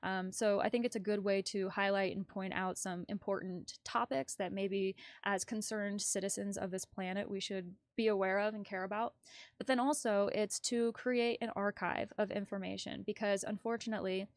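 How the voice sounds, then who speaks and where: A woman's voice: mid-range voice, steady tone, normal speed, no echo, studio quality. A woman hosting a casual livestream news commentary, chatty and rambling as she thinks aloud. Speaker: livestream host.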